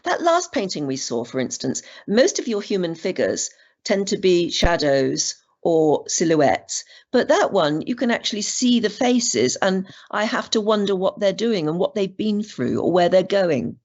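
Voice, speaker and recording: A woman's voice: mid-range voice, a little slow. A British woman interviewing an artist, asking questions with encouraging follow-ups. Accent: British English. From Britain. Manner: warm prompting questions, lively intonation. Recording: dull, slightly muffled sound.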